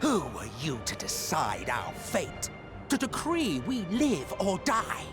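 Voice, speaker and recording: A high male voice that swings enormously in pitch as an outraged, defiant man in a fantasy game. He is emphatic and theatrical, arguing heatedly over music. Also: wildly swooping pitch, slow, heavily acted. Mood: indignant, outraged. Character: indignant, defiant man arguing against doom. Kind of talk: dramatic video game acting. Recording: music behind the voice.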